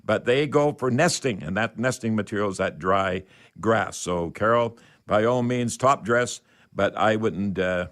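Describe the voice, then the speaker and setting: A man's voice: fairly low pitch, a little slow, a bit bright. An older man hosts a radio call-in show, chatting in a folksy, rambling way with old-fashioned expressions. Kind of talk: casual home-improvement call-in radio talk.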